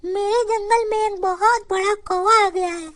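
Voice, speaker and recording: Very high-pitched auntie sparrow, played by a woman. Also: steady tone, a little slow, fairly bright tone. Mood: earnest. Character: motherly auntie sparrow, fretful but brave and helpful. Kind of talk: Hindi children's cartoon voice acting. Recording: music behind the voice.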